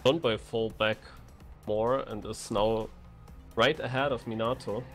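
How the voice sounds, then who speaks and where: A man's voice: on the low side, steady tone, unhurried, soft background music. A man giving conversational live commentary on a racing game as a co-caster. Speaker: esports co-commentator.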